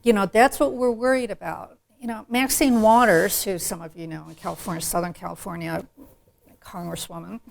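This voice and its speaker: Frank woman panelist, gravelly voice. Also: mid-range voice, steady tone, brisk. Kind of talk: panel discussion.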